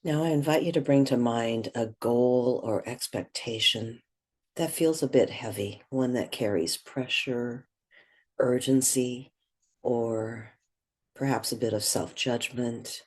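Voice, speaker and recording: A woman guiding a meditation, speaking gently in short, measured phrases with long pauses between them. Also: rumbling low, breathy edge. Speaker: guided meditation leader. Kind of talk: guided meditation.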